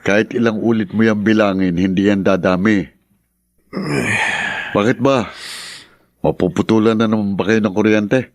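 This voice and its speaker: A man's voice: on the low side, steady tone, moderate pace, long pauses, no echo, studio quality, close to an everyday voice. An actor playing a sensible, exasperated younger male friend in a radio drama, with a blunt, nagging, teasing manner.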